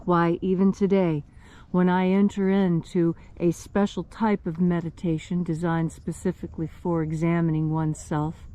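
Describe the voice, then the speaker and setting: A woman with a low, mellow voice leading a spiritual practice. She repeats each prayer line back, then walks listeners through a meditation in a calm, measured way. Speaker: ritual co-leader. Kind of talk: guided meditation and ritual responses.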